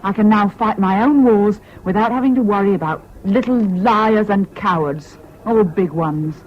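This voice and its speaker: A woman's voice: mid-range voice, normal speed, natural voice. Old dull radio play tape, actress doing a bitter british woman, cutting and sardonic, fiercely independent. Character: bitter, scornful, world-weary wartime woman, fiercely independent. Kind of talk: 1970s British radio drama acting.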